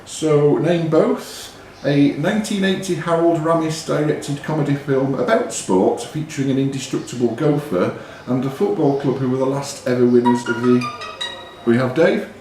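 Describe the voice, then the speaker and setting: Quiz master in a very echoey pub. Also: male voice, mid-range voice, moderate pace, a little mellow, a little hiss or hum. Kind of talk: reading out pub quiz questions. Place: indoor pub function room. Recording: very echoey pub room, audience chatter.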